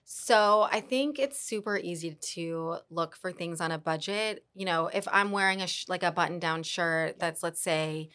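A middle-aged woman chats as an interview guest, her voice sitting a little low. She is relaxed and talkative, filling her sentences with "like" and "you know.". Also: normal speed, hardly any pauses. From Dallas, Texas. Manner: chatty, lots of "like" and "you know" fillers. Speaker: guest, fashion influencer and mom. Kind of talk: casual interview chat.

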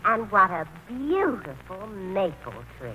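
An actress playing an eager, polite, gushing fiancée in a 1940s radio play, genteel in manner. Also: female voice, mid-range voice, very animated, slow, pushed and bright, heavily acted. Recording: noisy old radio broadcast.

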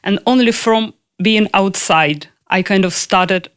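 A woman's voice: mid-range voice, measured pace, fairly bright tone, clean tone. Young woman. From Ukraine. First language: Russian. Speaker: interviewee. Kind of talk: casual conversational interview.

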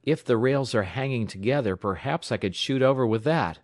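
A man's voice: mid-range voice, moderate pace, dry recording, studio quality, pitched above the performer's own voice, lightly acted. A man voicing a plucky, cheerful young railway tower operator, matter-of-fact and game, in an audiobook story. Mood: willing, brisk.

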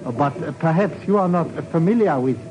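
An actor playing a courteous, grave Indonesian government geologist who speaks formally and ominously in a 1950s radio drama. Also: male voice, on the high side, animated, normal speed, muffled recording, natural voice. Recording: noisy 1950s radio recording.

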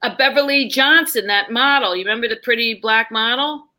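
A woman with a New York accent, telling lively stories as a podcast guest and acting out the people in them. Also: fairly high pitch, brisk. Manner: animated, acts out voices in her stories. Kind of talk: casual storytelling chat.

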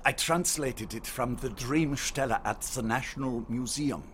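An actor playing a courteous, learned German archaeologist with a German accent, speaking like a formal storyteller in a radio drama. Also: male voice, mid-range voice, unhurried, fairly bright tone, a little hiss or hum, natural voice. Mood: reverent, explanatory.

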